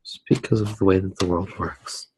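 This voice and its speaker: A soft-spoken man narrating an ASMR drawing demonstration in an unhurried, hesitant way. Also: sits low, little pitch movement, background noise. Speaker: ASMR artist, host.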